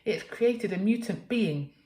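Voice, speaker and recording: A woman's voice: mid-range voice, expressive, measured pace, warm tone. A woman reading a children's story aloud as a teacher, with dramatic, emphatic expression. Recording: echoey room.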